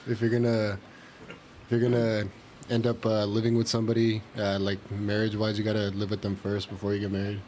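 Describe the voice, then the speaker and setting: A man's voice: fairly low pitch, completely flat delivery, fairly quick, darkish tone, a little hiss or hum, rough recording. A man co-hosting a podcast, chatting casually with slang and swearing. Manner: slangy, profane. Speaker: podcast co-host. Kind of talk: casual banter among friends.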